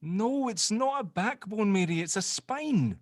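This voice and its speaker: A man's voice: high-pitched, lively intonation, unhurried, dry recording, studio quality. A man playing an earnest, exasperated amateur sleuth in a drama table read, hesitant in his explanations. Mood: realizing.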